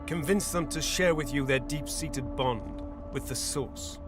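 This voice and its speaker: An actor playing a solemn, lofty god who guides like a mentor in a fantasy video game, speaking gravely and grandly. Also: male voice, mid-range voice, measured pace, natural voice. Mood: solemn, exhorting. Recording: orchestral music behind the voice. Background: music.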